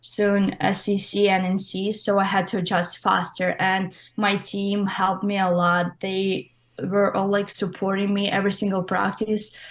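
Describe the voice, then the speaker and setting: A woman's voice: mid-range voice, level tone, unhurried. A young woman from Russia with a Russian accent, giving casual, loosely phrased interview answers as a college swimmer. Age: young adult. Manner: informal, loosely phrased, frequent "like".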